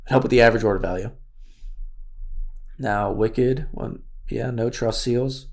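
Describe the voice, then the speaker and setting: A man with a raspy voice, losing it, casually walking through an online store tutorial with many fillers. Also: mid-range voice, unhurried, darkish tone. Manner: casual, thinks aloud with fillers. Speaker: course instructor. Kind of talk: screen-share tutorial walkthrough.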